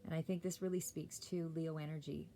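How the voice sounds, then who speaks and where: Brief: hoarse woman tarot reader, low gravelly mellow voice, rambling delivery, faint hum behind. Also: level tone, a little fast. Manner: rambling, many fillers and self-corrections. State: hoarse, clearing throat. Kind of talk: casual tarot reading monologue.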